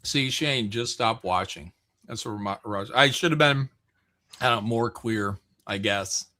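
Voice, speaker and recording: A man's voice: mid-range voice, measured pace, pushed and bright. A man hosting a livestream with casual banter, teasing and mock-defensive as he talks. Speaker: livestream host.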